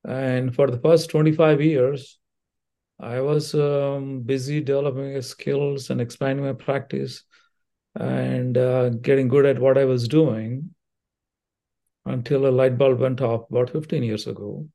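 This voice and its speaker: An older man with an Indian accent explains things in a measured, unhurried way, often pausing on fillers and restarting phrases. His voice has a gravelly, fry-laden edge and a mellow, dark tone. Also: medium pitch, fairly even intonation. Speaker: cardiothoracic surgeon, guest. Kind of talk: interview answers, explanatory.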